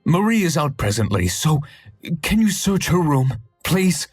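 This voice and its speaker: An anxious young newlywed. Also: male voice, on the high side, big pitch swings, unhurried, dry recording, faint music behind, heavily acted. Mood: worried. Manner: worried, pleading, slightly husky. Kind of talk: hidden-object game cutscene.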